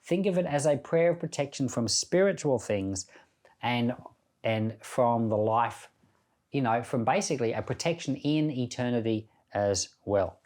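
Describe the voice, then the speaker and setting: A man's voice: medium pitch, a little slow, a little mellow. A man speaking as a pastor, giving informal Bible teaching in a reflective, conversational storytelling manner.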